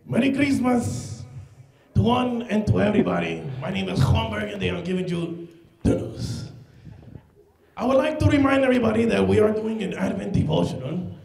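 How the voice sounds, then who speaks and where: A man doing a deadpan comic news segment on a church stage, dryly repeating his running gag. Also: sits high, normal speed, creaky, reverberant, low-quality recording. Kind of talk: deadpan comedy sketch. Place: large church stage, indoors. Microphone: handheld mic.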